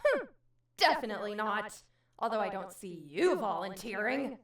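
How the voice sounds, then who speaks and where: An actress playing a feisty, hot-tempered little game show contestant, indignant and combative, in a comedy audio drama. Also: female voice, medium pitch, sing-song, moderate pace, recorded from a distance, close to an everyday voice. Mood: sardonic. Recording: echoey room, off the mic.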